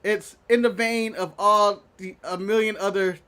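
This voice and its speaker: Brief: male co-host, high voice, jokey banter, laughs a lot. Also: fairly even intonation, a little slow, pushed and bright, smooth. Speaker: podcast co-host. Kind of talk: casual chat.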